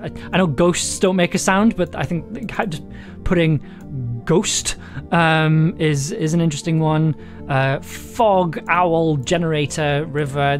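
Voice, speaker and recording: A live streamer talking casually to viewers, stumbling through fillers and restarts and laughing often. The voice sits at an ordinary middle pitch. Soft guitar music plays quietly in the background. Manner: hesitant, many fillers and restarts, laughs easily. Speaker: audio drama writer streaming live. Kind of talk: casual live-stream chat, reading prompts aloud.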